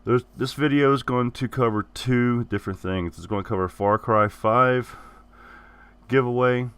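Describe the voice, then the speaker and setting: A man's voice: medium pitch, a little slow, a bit bright, clear, resonant tone. A man hosting his gaming channel who is getting over the flu, talking casually and correcting himself as he goes. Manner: rambling, repeats and corrects himself. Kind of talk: casual giveaway announcement.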